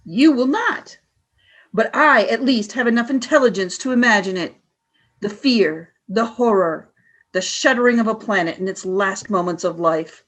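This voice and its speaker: A woman playing a cold, cruel alien commander in a radio play, speaking in a deliberate, scornful, commanding way. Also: medium pitch, a bit bright, lightly acted. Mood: cold, brooding. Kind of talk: audio drama radio play acting.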